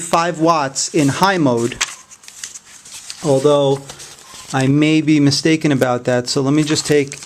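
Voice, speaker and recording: A man giving a casual, unscripted product review in a hesitant, halting way. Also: mid-range voice, slight background noise.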